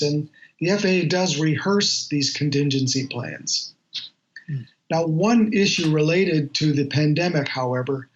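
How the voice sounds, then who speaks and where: Dull-sounding recording, higher-voiced male journalist. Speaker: aviation journalist, interviewee. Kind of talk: explanatory interview answers, measured briefing.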